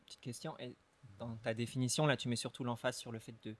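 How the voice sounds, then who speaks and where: A man's voice: medium pitch, fairly even intonation, fast, dry recording. Casual young man asking questions. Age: young adult. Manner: casual, questioning, laughs easily. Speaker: student co-host.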